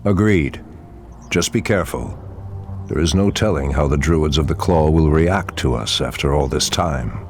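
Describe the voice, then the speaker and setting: An actor playing an ancient night elf archdruid in a fantasy game, speaking in a grave, formal, commanding manner. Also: male voice, darkish tone, faint music behind. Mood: troubled, grave. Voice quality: deep, gravelly. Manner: slow, grave, formal declamation. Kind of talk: fantasy game cutscene acting.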